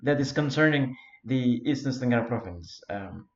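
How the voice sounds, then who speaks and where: A man from Flores in eastern Indonesia with an Indonesian accent, giving a simple self-made lecture in English, often pausing with fillers and repeated words. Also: medium pitch, animated. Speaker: founder of an English learning community. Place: Europe. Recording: roomy, dull lo-fi recording.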